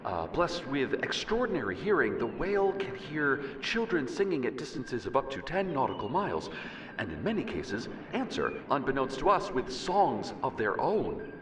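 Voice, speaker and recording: Man playing a distant, echoing, halting professor. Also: medium pitch, sing-song, lightly acted. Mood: earnest, hesitant. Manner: halting, stammering. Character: earnest, hesitant male professor giving a scientific demonstration. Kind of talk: audio drama lecture by remote broadcast. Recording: distant, noisy, reverberant remote broadcast.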